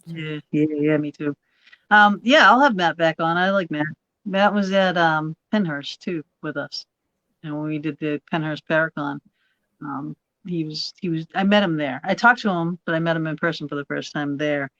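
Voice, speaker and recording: A woman from Massachusetts with a Massachusetts accent and a rough voice, chatting bluntly as a paranormal show guest. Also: sits low, normal speed, a bit bright, lo-fi sound. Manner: blunt, laughs easily, rambling restarts. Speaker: paranormal radio show host. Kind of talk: casual chat.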